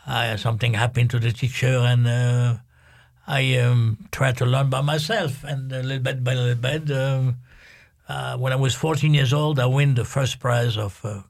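Older man, gravelly voice, from Algeria, halting speech, many fillers. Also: mid-range voice. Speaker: guest musician. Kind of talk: casual interview.

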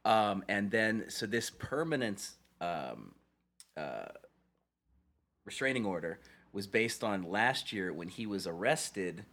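A podcast host with a lowish male voice. He rambles through a story, stopping and restarting as he goes, in a casual chat. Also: lively intonation, slow pace, fairly bright tone.

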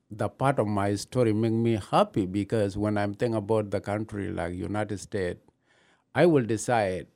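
Deep voice guy, slow halting english, lots of pauses. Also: a little mellow. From Gambela, Ethiopia. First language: not English. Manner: halting non-native English, many pauses. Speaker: interviewee, new citizen. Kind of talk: personal story in an interview.

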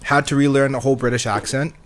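A man's voice: medium pitch, fairly even intonation, fast talker, slight background noise. A young man in casual podcast chat, telling offhand stories with swearing.

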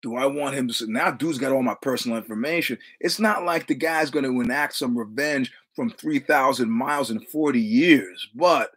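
A husky-voiced man in his sixties with a New York accent, spinning rambling stories in casual conversation. He swears freely and acts out the other people's dialogue as he goes. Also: medium pitch, normal speed, hardly any pauses, a bit bright. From New York City. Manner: profane, rambling anecdotes with quoted dialogue. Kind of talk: casual storytelling.

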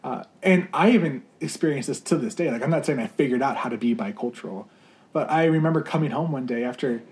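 A man's voice: mid-range voice, quick pace, a little mellow. A young adult man, a campus ministry leader, answering interview questions in an earnest, searching way with many fillers and restarts. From United States.

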